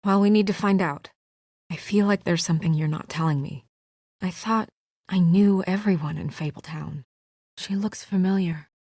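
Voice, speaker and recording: A serious young female official in a video game, her voice on the low side and recorded very dry. She is businesslike but anxious, earnest and guarded, and grows hesitant under stress. The delivery stays naturalistic. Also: normal speed, darkish tone, no room sound. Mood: guarded, concerned.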